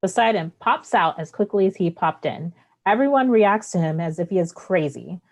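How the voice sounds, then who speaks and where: Lowish-voiced woman reading stage directions. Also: animated, moderate pace. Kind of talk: scripted narration of stage directions.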